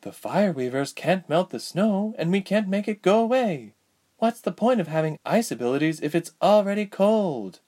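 A man's voice: high-pitched, measured pace, a little mellow, voice pushed up. A man voicing an impatient, scornful older sister in a storybook narration.

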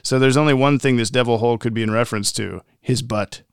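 Smooth-voiced man reading listener mail, close and dry. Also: medium pitch, fairly even intonation, fast talker, no room sound. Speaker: podcast co-host. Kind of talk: casual podcast chat, reading listener messages aloud.

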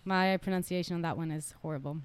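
A woman's voice: on the low side, steady tone, fast talker, slight background noise. A young woman from Germany with a German accent, a podcast guest chatting casually, joking, swearing and laughing often. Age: young adult. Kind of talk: casual chat.

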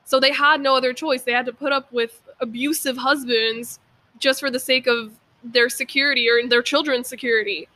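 A woman's voice: sits high, fairly even intonation, moderate pace, bright, forceful tone. A young woman guesting on a podcast, talking casually and earnestly, emphatic about her opinions. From Persian background. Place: outdoors.

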